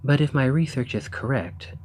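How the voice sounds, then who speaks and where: A man playing an earnest, eager researcher of ancient civilizations in an ASMR roleplay, speaking in formal, gently sympathetic phrasing. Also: medium pitch, lively intonation, moderate pace, a little hiss or hum, lightly acted. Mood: hopeful, encouraging. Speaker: audio roleplay performer. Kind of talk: ASMR roleplay, scripted character acting.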